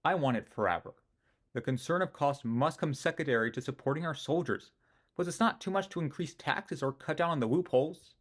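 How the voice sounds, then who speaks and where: A man's voice: fairly high pitch, brisk. Opinionated male history narrator. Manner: opinionated, with self-mocking asides.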